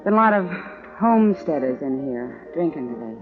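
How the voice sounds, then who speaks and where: A woman's voice: low-pitched, big pitch swings, moderate pace, over music. An actress playing a worried saloon woman in a 1950s radio western, speaking with intimate concern. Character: worried saloon woman, tender toward the marshal. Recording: muffled old radio broadcast.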